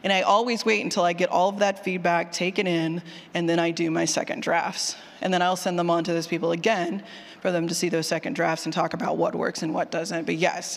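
Animated woman speaking in a reverberant hall. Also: fairly low pitch. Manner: animated, self-deprecating, candid, quotes her own thoughts aloud. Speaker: presenter, fiction podcast writer. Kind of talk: casual conference talk with audience questions. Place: indoor session room with long reverb.

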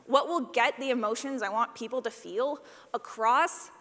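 A woman giving a live workshop talk as a sound designer, animated, playful and informal, acting out examples as she goes. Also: fairly high pitch, moderate pace. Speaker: session presenter, podcast sound designer. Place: large indoor session room. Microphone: presentation microphone. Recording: echoey hall, live presentation.

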